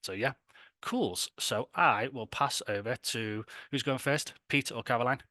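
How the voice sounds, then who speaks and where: A man's voice: mid-range voice, measured pace, pushed and bright, dry recording, studio quality. A man with a Scouse accent hosting an event in a casual, chatty, enthusiastic and colloquial way. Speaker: event host and presenter. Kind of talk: casual, informal event presentation.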